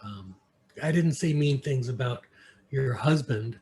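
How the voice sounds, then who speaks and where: A man from New York with an American accent, an interview guest telling stories casually and haltingly, sometimes switching between English and Mandarin. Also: medium pitch. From New York, United States. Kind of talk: casual conversational storytelling. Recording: clear background noise.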